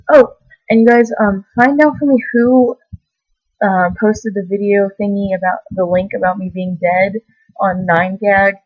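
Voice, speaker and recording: A woman's voice: mid-range voice, no room sound. A young woman talks straight to her webcam in a loose, meandering way, full of ums and gushing asides. The sound is dry and close, with a dull, lo-fi webcam quality. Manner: meandering, filler-heavy, giggly enthusiasm. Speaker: vlogger. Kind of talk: rambling casual vlog. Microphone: camera mic.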